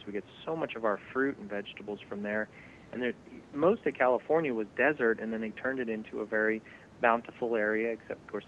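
Co-host, male, measured and fact-heavy delivery, tinny lo-fi line with faint hum. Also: on the low side. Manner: reels off statistics, measured. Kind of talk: conversational broadcast discussion.

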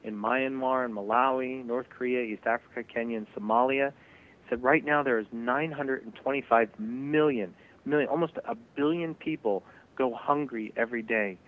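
Man on a tinny phone-like line. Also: mid-range voice. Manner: reels off statistics, measured. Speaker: co-host. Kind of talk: conversational broadcast discussion.

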